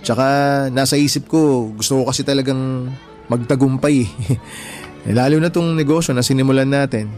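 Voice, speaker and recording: Earnest young husband, played by an actor, music behind. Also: male voice, mid-range voice, moderate pace, pauses often, a little mellow, lightly acted. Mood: earnest, determined. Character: earnest, hardworking, humble young husband and expectant father. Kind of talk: Tagalog radio drama dialogue.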